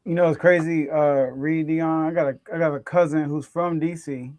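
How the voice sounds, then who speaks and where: A man's voice: on the high side, steady tone, moderate pace, darkish tone. A man hosting a casual sports talk show, rambling through his picks with frequent restarts and laughs.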